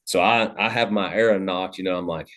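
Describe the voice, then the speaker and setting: A man, a podcast host telling a hunting story in a loud, animated, cursing way. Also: sits low, brisk. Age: 30s. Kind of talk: casual storytelling chat.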